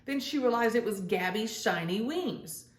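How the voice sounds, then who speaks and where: A woman reading a children's story aloud in an expressive storytelling style, speaking as if to young listeners. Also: fairly high pitch, moderate pace, reverberant. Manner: addresses young children directly, acts out dialogue. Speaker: children's storybook reader and host.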